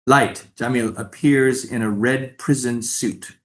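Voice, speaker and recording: A man's voice: medium pitch, steady tone, takes it slowly. A man reading stage directions as scripted narration for a staged play reading.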